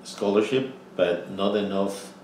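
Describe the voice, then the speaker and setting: Older Costa Rican man, very deep voice. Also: steady tone, darkish tone. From Costa Rica. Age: 70s. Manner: halting, frequent "you know" fillers. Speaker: interviewee. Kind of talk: oral history interview. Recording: roomy, distant mic with faint noise.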